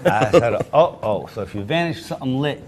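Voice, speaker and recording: A man talking casually about sleeving and demonstrating simple magic tricks, lively and quick to laugh. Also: mid-range voice, slight background noise. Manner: animated, laughs easily, repeats phrases. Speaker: magician guest.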